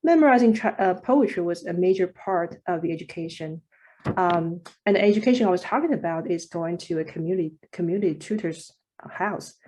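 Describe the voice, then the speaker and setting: A woman's voice: fairly low pitch, animated, fairly quick, dark tone, poor audio. A woman, a Mandarin speaker, reading a reflective prepared commentary as a poet on a panel over a video call. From China. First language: Mandarin Chinese.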